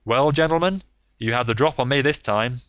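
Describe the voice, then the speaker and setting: A man's voice: mid-range voice, moderate pace, fairly bright tone, clear, resonant tone, dry recording, dull audio, voice pushed up, natural voice. Man voicing a fierce American outlaw. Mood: defiant. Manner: fierce, pleading. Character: desperate, hot-tempered American outlaw man. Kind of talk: audiobook character voice.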